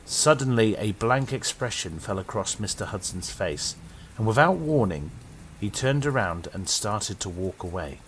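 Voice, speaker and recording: British guy reading a spooky story, very lively swooping voice. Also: moderate pace, a little hiss or hum, poor audio. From Britain. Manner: dramatic storytelling with sweeping pitch. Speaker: narrator. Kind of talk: horror story narration.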